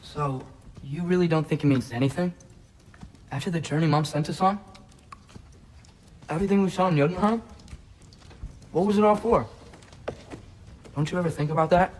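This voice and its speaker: Earnest teenage boy played by a man, lightish pitch. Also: moderate pace, long pauses, darkish tone, a little hiss or hum, lightly acted. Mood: pleading. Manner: earnest, casual, probing. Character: earnest, questioning teenage boy, son of a warrior god. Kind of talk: video game character acting.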